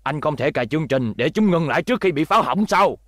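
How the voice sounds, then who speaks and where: A man's voice: fairly high pitch, expressive, fast talker, a bit bright, no echo, clean studio sound. A man with a Southern Vietnamese accent voicing a greedy, blustering middle-aged fight promoter in a dubbed cartoon. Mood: irritated.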